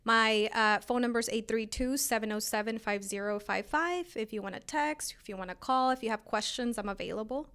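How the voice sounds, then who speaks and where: A woman's voice: fairly high pitch, a little fast, hardly any pauses, a bit bright, smooth. A woman, a real estate agent giving her contact details in a brief, nervous way.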